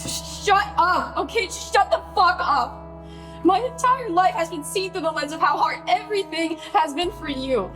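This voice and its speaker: A woman's voice: extremely high pitch, animated, measured pace, music in the background, low-quality recording. An actress playing a hurt, furious bride confronting her famous sister in a naturalistic radio play, scolding and pleading with emphatic profanity.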